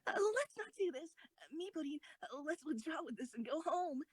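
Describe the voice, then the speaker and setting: A woman playing an excitable, easily alarmed teenage girl on a tank crew in an amateur audio drama. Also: sits high, brisk, no room sound.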